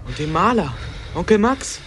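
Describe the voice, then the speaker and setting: A man's voice: sits high, sing-song, moderate pace, a bit bright, slight background noise. A male actor playing an anxious, loyal young boy in a radio drama, questioning naively with a North German accent. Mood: worried.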